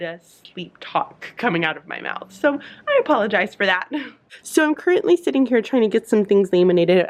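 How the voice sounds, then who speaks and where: A young woman teacher vlogging from her classroom in a sleepy morning voice, talking casually to camera with lots of fillers and restarts. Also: mid-range voice.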